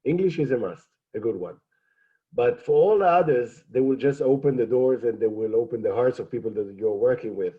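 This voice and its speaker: A man whose first language is Hebrew, speaking in a measured, hesitant way with many fillers on a panel. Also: medium pitch, phone-quality sound. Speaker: program alumnus panelist. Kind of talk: panel discussion.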